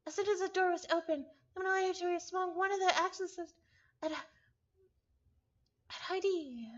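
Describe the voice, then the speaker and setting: Girly super high voice, woman reading a story out loud on stream, stumbles and repeats, kinda muffled audio. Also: measured pace. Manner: stumbles over words and repeats them. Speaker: visual novel reader, streamer. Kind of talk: live read-aloud of a story with casual asides.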